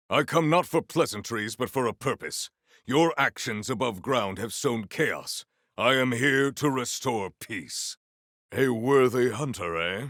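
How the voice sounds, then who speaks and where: A man playing a solemn, proud warrior hunter in a video game, speaking in formal, stilted, declamatory sentences with a gravelly low voice. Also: fairly bright tone, no echo, studio quality, exaggerated voice. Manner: formal, stilted, declamatory speech without contractions. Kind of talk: video game character acting.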